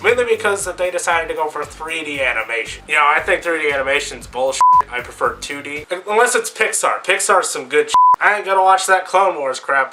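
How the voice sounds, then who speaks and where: A man's voice: on the high side, sharp tone. A man voicing a brash, rambling, irreverent comic superhero with a scratchy, strained voice, hosting a comedic web show. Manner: cocky, rambling, irreverent, swears freely. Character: brash, crude, rambling comic superhero Captain Crazy, male. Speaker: stand-in host of a comics show.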